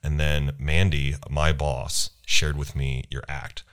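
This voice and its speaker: A man hosting a podcast interview at a convention, enthusiastic and halting, with many restarts and fillers. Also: extremely low pitch, little pitch movement, fairly bright tone. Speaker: podcast host. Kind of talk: casual interview. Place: convention venue.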